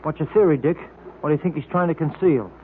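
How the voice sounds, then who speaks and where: An actor playing an excitable, suspicious detective's sidekick in an old radio drama, exclamatory and plain-spoken. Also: male voice, a little high, fast talker, lightly acted. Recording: very noisy, tinny old radio recording.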